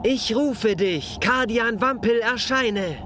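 A man's voice: extremely high pitch, takes it slowly, noisy background. An actor playing a solemn male alien villain in an audio drama, with a flowery way of speaking. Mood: commanding. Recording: music under the voice.

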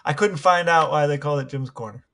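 A man's voice: fairly high pitch, fast, a bit bright. A man co-hosting a podcast, chatting casually and asking questions. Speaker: podcast co-host. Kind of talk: casual chat and interview questions.

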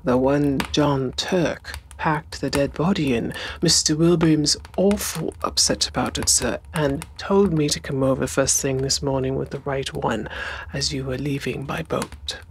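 A woman voicing a deferential manservant in an audiobook, apologetic, matter-of-fact and deadpan. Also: extremely low pitch, a little slow, a little hiss or hum.

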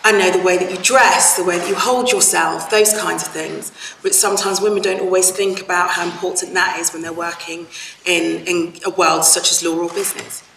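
A bright, gravelly female voice asking a pointed question from the audience. She speaks articulately and presses her point. She sounds distant, caught from off-mic in an echoing hall. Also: on the low side, steady tone, a little fast, slight background noise. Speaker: audience questioner, news presenter. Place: large formal hall. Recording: distant, echoey hall audio.

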